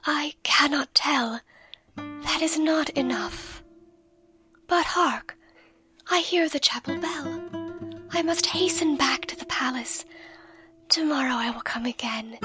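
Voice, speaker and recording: A playful young fairy-tale princess, voiced by a woman, speaks in a high, breathy whisper. She is light and teasing, like a storybook flower maiden, with soft guitar music behind her. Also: slow, pauses often, heavily acted.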